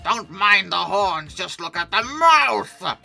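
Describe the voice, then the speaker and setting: Boastful cartoonish dinosaur put on by a narrator, roaring and drawn out, bright forceful tone over music. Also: mid-range voice, very animated, slow pace, vocal fry, heavily acted. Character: gaping-mouthed Triceratops, loud and boastful about its mouth.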